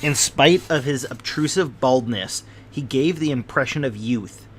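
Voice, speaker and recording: A man reading a classic novel aloud as narrator in a steady, plain manner. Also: medium pitch, measured pace, a little hiss or hum. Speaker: audiobook reader.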